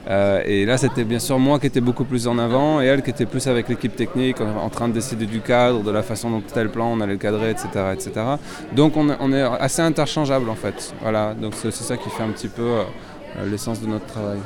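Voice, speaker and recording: A man's voice: mid-range voice, quick pace. A French man, a filmmaker, answering interview questions outdoors, hesitant and full of fillers. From France. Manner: hesitant, many fillers and restarts. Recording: loud crowd behind. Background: crowd noise.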